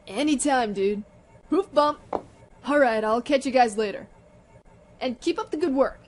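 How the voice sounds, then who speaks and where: Woman playing a laid-back, high-voiced pony DJ. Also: expressive, measured pace. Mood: breezy, friendly. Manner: slacker slang, easygoing. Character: laid-back slangy female pony DJ, loyal friend. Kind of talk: radio play acting.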